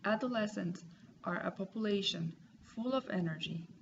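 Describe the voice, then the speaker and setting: Woman in her 30s, reads her class paper slow and careful, bit of fry, dull noisy home recording. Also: medium pitch. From Puerto Rico. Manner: careful, measured reading with pauses. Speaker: student. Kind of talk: reading a class assignment aloud.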